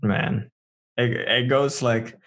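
Husky guy in his 20s, from mexico, joking around and rambling on a podcast. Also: mid-range voice, steady tone, slow pace, a bit bright. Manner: joking storyteller, many likes. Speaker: podcast co-host. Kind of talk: casual chat.

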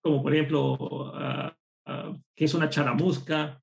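Costa rican guy chatting as a guest, bit raspy, voice on the high side, telling funny stories. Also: a little slow. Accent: none, a neutral Spanish. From Costa Rica. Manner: lively anecdotal storytelling. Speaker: programmer and course instructor, guest. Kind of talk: casual storytelling interview.

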